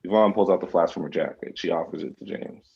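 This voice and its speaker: A man's voice: deep voice, quick pace, vocal fry, husky, lo-fi sound. A man reading scripted screen directions as narrator at a table read. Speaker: stage-direction reader.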